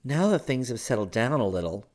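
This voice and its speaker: A man voicing a lively, affectionate young woman in an audiobook, chatty and eager, taking charge of her friends. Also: medium pitch, brisk, voice pushed up, lightly acted. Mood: proud, sociable. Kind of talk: audiobook character dialogue.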